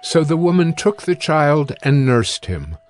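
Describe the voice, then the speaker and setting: A man's voice: on the high side, very animated, unhurried, faint music behind. A man narrating scripture from a script in a dramatized reading.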